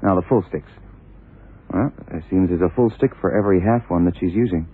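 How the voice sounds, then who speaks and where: An obliging police assistant in an old radio crime play. He has a fairly low, rough-edged voice and speaks casually, deferring with questions. The recording is thin and boxy. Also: fairly quick, narrow, tinny audio. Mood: puzzled, obliging. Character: obliging male police sidekick, eager but slower-witted. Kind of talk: 1960s-70s radio drama acting.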